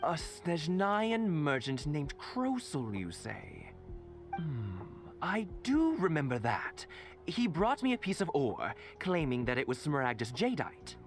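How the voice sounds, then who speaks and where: Hesitant, courteous, animated shopkeeper. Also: male voice, a little high, takes it slowly, soft background music, full character voice. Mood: musing. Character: polite, hesitant male craftsman shopkeeper, deferential. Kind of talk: video game voice acting.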